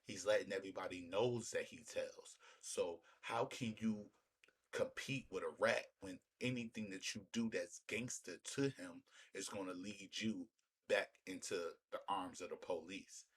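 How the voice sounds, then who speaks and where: A man's voice: sits low, fairly even intonation, a little slow, slightly rough. A man giving opinionated street-talk commentary in an emphatic, repetitive way, often using rhetorical questions. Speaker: commentator hosting a reaction video.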